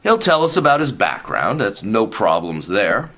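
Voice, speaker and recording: Breezy senior male commissioner man acting, animated and avuncular, radio sitcom dialogue with slight noise behind. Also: fairly low pitch, moderate pace. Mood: breezy, reassuring. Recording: light background noise.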